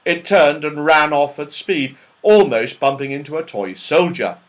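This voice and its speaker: A man narrating a children's storybook as an audiobook reader, with expressive, dramatic delivery. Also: a little high, measured pace.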